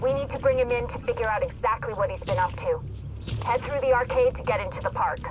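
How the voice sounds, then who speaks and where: A woman playing a brisk, informal field agent who guides a comrade in a video game. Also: on the high side, background noise, close to an everyday voice. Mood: wary, briefing. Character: watchful, helpful female field agent guiding a comrade. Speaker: mission support agent. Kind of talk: video game radio dialogue.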